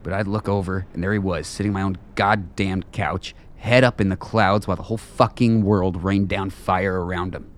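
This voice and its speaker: A man's voice: sits low, steady tone, moderate pace, hardly any pauses, close to an everyday voice. A man acting a bitter, weary middle-aged soldier in a radio play, blunt and profane.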